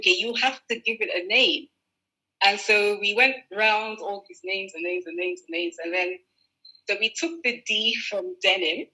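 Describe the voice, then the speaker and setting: A woman designer talks through her work on a video call, pausing to find words and restarting her sentences. Her voice is bright and clear, at a middle pitch. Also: poor audio. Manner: thoughtful, explains with restarts and fillers. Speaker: fashion designer guest. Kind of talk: relaxed conversational interview.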